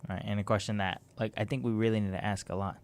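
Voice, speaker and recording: A man's voice: lowish voice, level tone, fast talker. Teasing young man hosting a radio talk show. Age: 20s. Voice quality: teasing, loose and rambling.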